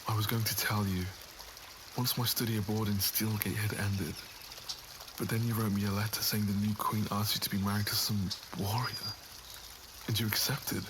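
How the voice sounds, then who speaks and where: Casting: man voicing a lowish, formal young noble, earnest and heartsick, clear water ambience behind. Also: level tone, moderate pace, natural voice. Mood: tender confession. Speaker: voice actor in an audio roleplay. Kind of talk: ASMR roleplay acting. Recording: audible water and outdoor ambience noise.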